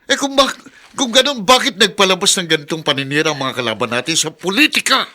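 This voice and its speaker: Intense, angry, suspicious husband. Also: male voice, sits high, very animated, quick pace, sharp tone, full character voice. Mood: accusing, heated. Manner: confrontational, emphatic, stammers when upset. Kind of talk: Filipino radio drama acting.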